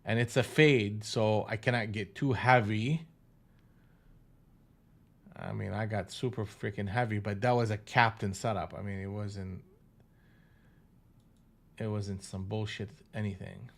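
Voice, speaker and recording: A man's voice: on the low side, steady tone, unhurried, gravelly, long pauses, fairly bright tone. A man hosting a live trading room, talking through chart moves in a casual way, often restarting and repeating phrases, with some mild swearing.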